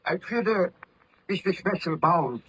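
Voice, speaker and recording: An actor playing a weak, driven baron in an old radio drama, speaking in formal, archaic phrases with anguish. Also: male voice, sits high, lively intonation, measured pace, bright, forceful tone, slightly rough, full character voice. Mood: weak, urgent. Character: weak, driven baron rescued from the Arctic ice. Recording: dull old radio recording.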